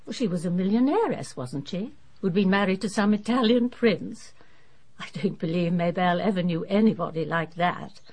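A woman's voice: fairly low pitch, moderate pace, a little mellow, natural voice. An actress playing a genteel, anxious middle-aged English lady in a radio drama, talkative and earnest. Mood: earnest, concerned.

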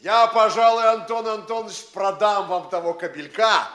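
High-voiced, genial provincial gentleman. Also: fairly even intonation, moderate pace. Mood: sociable. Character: genial provincial gentleman guest, dog fancier. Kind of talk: theatrical radio play acting.

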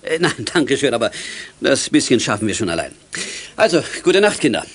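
A man's voice: medium pitch, sing-song, a little fast. A man playing a kindly adult who talks to children in a children's radio play. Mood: friendly. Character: kindly adult male host seeing children off.

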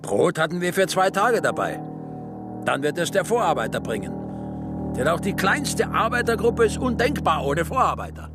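Radio drama: haunted prisoner-narrator, high voice, very lively pitch, music underneath. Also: male voice, normal speed. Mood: haunted, recollecting. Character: male Gulag prisoner recounting camp life, haunted. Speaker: actor narrating in a radio play. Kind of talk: literary radio play narration. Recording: music behind the voice.

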